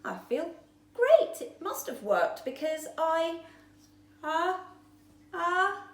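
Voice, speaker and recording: A woman's voice: very high-pitched, big pitch swings, slow pace, voice pushed up, full character voice. A woman voicing a nervous, sad young male fish with a worried, hesitant way of talking. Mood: hopeful. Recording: roomy echo.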